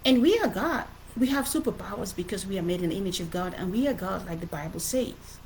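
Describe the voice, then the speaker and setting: A woman's voice: mid-range voice, animated, quick pace, darkish tone, slight background noise, poor audio. A middle-aged woman with a Cameroonian accent gives an informal motivational livestream talk as an author, speaking earnestly and emphatically and often repeating phrases. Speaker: author presenting her book.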